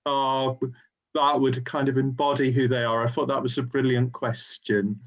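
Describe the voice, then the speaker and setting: A man's voice: mid-range voice, little pitch movement, normal speed. A man hosting an informal webinar and relaying questions from the audience, heard over a dull, lo-fi online call.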